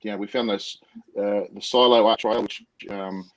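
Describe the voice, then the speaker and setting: An Australian man with a loud voice that carries well. He talks enthusiastically and off the cuff, like a guest telling friends about his travels. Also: on the low side, fairly even intonation, moderate pace, rough recording. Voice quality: loud, projecting voice. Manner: enthusiastic, spontaneous. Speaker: guest, motovlogger. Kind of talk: casual interview chat.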